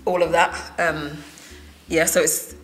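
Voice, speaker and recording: Woman, actor, low-pitched, thoughtful, hesitant phrasing. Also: little pitch movement, a little slow, soft background music. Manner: thoughtful, searching for words. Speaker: actor guest. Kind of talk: casual panel chat.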